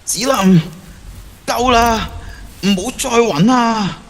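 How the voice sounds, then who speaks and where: High-voiced lovesick schoolboy, acted by a man. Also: slow pace, long reverb tail, heavily acted. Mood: alarmed. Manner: earnest, emotional delivery. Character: lovesick, torn teenage schoolboy who has travelled back in time. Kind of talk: Cantonese audio drama acting.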